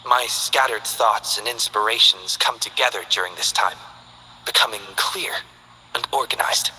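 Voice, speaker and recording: Thoughtful bookish researcher from a game, measured and precise, crisp bright tone. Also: male voice, on the low side, slight background noise, poor audio. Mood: reflective. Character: calm, earnest male scholar, reflective and orderly. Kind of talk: game character voice lines.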